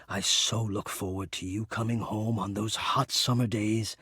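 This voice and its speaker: An actor playing a shy, devoted, lovestruck male air-vent spirit in a romance video game, with breathy, formal, florid and earnest delivery. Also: lowish voice, steady tone, measured pace, pushed and bright, dry recording, clean studio sound, full character voice. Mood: yearning, tender.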